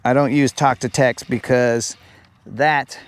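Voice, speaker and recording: A man's voice: medium pitch, fairly even intonation, slow pace. A man hosting a live fishing stream outdoors on a lake. He chats casually, reads viewer comments aloud and often says "bro". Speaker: fishing livestream host.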